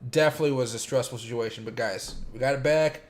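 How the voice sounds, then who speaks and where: A man hosting a casual crypto livestream, his voice a little sore and raspy from days of streaming, rambling with lots of fillers and greeting chat viewers by name. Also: mid-range voice, quick pace.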